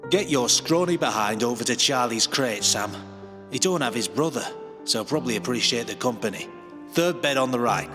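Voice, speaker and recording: A mid-pitched male voice from an actor plays a curt workhouse overseer in a radio drama. It echoes like a hall, over clear music. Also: animated, normal speed, close to an everyday voice. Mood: brusque. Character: brusque workhouse overseer ordering boys about.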